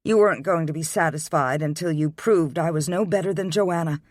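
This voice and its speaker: Man doing heartbroken young woman, pregnant, pleading, raised pitch, close dry voice. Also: fairly quick, no room sound, close to an everyday voice. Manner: tearful, pleading, then quietly resolved.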